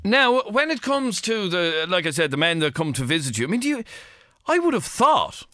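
A man's voice: high-pitched, big pitch swings, moderate pace, fairly bright tone, no room sound, studio quality. An Irish man in middle age hosting a radio phone-in, asking probing questions with many restarts. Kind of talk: talk radio interview. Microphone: studio microphone.

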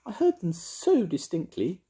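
A man's voice: high-pitched, huge pitch swings, unhurried, warm tone, voice pushed up, heavily acted. A man voicing a dainty, wistful female fairy in a storybook, with trilling exclamations. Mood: puzzled.

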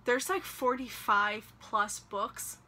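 A woman's voice: on the high side, fairly even intonation, takes it slowly, bright, forceful tone, a little hiss or hum. A young woman chatting casually to camera about her reading plans, rambling with lots of fillers, recorded in a small room by her bookshelves. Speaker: book vlogger.

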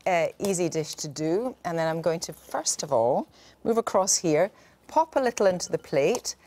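A middle-aged woman news presenter with a brisk, wry manner, talking while she cooks on air in a TV studio. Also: fairly low pitch, a little hiss or hum. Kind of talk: light-hearted live cooking segment. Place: TV studio with a cooking set.

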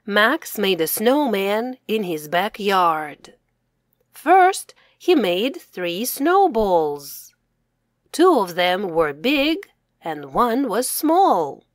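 Slow, animated woman reading a children's story. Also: mid-range voice, no room sound, clean studio sound. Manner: careful, deliberate enunciation. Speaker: children's author reading own story aloud. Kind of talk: scripted children's storybook narration for English learners.

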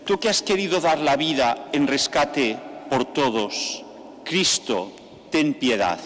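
An older man, a priest, leading mass with a slow, measured liturgical delivery into a stand microphone in a large church. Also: mid-range voice, lively intonation. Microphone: stand mic at the altar. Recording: long church reverb, faint background noise.

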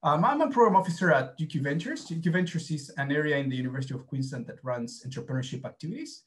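Argentine man presenting, halting and hesitant. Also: a little high, animated. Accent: Argentine Spanish. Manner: hesitant, many fillers and restarts. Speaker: academic presenter. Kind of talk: conference presentation over video call. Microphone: video call.

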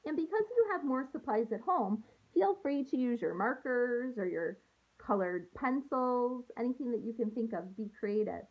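A woman in her thirties, a teacher reading aloud to young children with an expressive, sing-song delivery, recorded on a handheld-distance device at home. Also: a little high, normal speed, husky, dull audio. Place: small room at home, indoors. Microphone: phone or laptop at arm's length.

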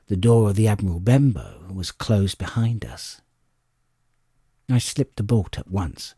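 Guy reading an adventure story, very lively pitch, expressive, dry close sound. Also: husky, dry recording. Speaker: audiobook narrator. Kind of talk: dramatic scripted storybook narration.

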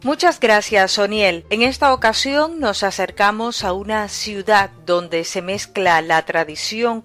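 A woman co-presenting a radio program, reading scripted narration in a lyrical, unhurried way. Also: medium pitch. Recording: soft music underneath.

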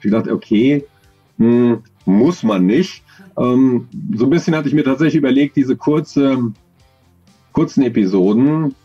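A man in his forties, an audio drama author, talking thoughtfully in an interview over a video call, with many pauses and fillers. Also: medium pitch, dark tone, husky, highs cut off, faint music behind. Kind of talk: relaxed conversational interview.

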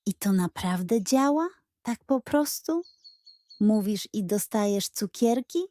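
A woman's voice: expressive, takes it slowly, warm tone, dry recording. Woman doing a huffy greedy pug dog. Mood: eager. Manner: whiny and huffy, high and overexcited. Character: greedy, lazy, indignant male pug dog.

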